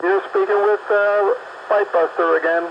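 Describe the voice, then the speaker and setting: A man's voice: sits high, fairly even intonation, moderate pace, vocal fry. A man chatting over CB radio in a laid-back, plainspoken way. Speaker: CB radio operator. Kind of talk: casual CB radio chat. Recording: muffled CB radio with static.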